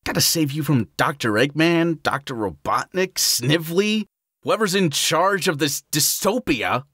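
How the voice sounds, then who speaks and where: A woman voicing a cocky, excitable young male hedgehog hero with a bouncy, wisecracking manner. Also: low voice, measured pace, slightly rough, no echo, clean studio sound, heavily acted.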